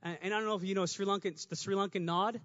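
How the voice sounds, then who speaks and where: A man preaching a lively, conversational sermon, talking fast and rising to shouts at the climax. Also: high voice, no echo, muffled recording.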